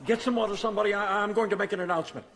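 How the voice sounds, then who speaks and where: An actor playing a bossy, theatrical and excitable stage director in a radio drama. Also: male voice, sits high, rapid-fire. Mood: urgent.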